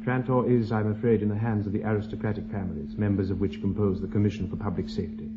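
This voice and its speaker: Actor as a low-voiced, composed British lawyer. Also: male voice, level tone, fast talker, lightly acted. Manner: formal, precise. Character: composed, precise male lawyer, matter-of-fact and discreet. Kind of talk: radio drama acting. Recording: dull recording with loud background hum.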